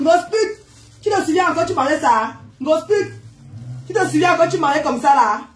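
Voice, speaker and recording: A woman's voice: high voice, expressive, moderate pace, a little hiss or hum. A woman with a head cold, chatting casually on a live stream, greeting viewers, teasing and exclaiming with frequent laughs.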